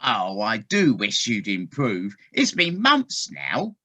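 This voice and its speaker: A woman's voice: a bass voice, huge pitch swings, slow, pushed and bright, heavily acted. A woman playing a kindly, trusting, fretful water rat in an audio play, earnest and fussing, on dull lo-fi call audio. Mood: concerned, trusting. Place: living room, recorded over video call.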